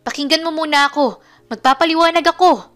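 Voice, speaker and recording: Female reader as caught-out young boyfriend in romance melodrama; urgent pleas, high pitch, music behind. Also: fairly quick, fairly bright tone. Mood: pleading. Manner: urgent, pleading. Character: pleading cheating boyfriend, young man caught out. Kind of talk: melodramatic romance story acting.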